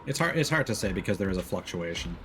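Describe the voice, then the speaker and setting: A man's voice: deep voice, sing-song, fast talker, sharp tone, faint music behind. A man giving casual, rambling livestream commentary with joking asides while he plays a game. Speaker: game streamer.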